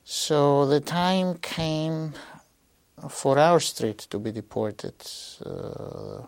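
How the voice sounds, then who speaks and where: A man in his sixties from Greece, giving a halting oral history testimony with many pauses and fillers. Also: a little high, lively intonation, clean tone, no room sound. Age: 60s. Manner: slow, hesitant, with frequent pauses and fillers. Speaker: interviewee.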